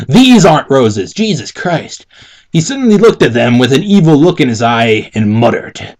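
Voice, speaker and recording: A man co-hosting a mocking dramatic reading, casually commenting with giggly, stumbling, exasperated profanity. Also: mid-range voice. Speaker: co-host reading and mocking fan fiction.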